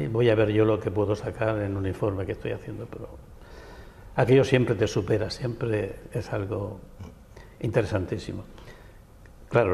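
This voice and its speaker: Gravelly low-voiced Spanish man with reverb. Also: a little mellow. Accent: Peninsular Spanish. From Barcelona, Spain. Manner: discursive, long winding answers with restarts. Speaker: guest, writer and architect. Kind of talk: TV interview conversation. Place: local TV studio.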